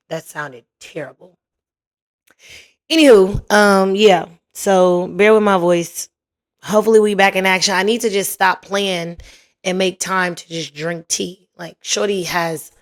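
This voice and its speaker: Woman, podcast host, hoarse and raspy, unhurried drawl, lowish voice, playful slangy chat. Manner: chatty, playful, slangy, drawn-out emphasis. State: hoarse, voice recovering. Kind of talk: casual solo podcast chat.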